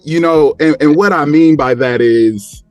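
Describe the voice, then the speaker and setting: A man chatting casually about sports as a panel guest, repeating words haltingly as he builds his points. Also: fairly high pitch, soft background music.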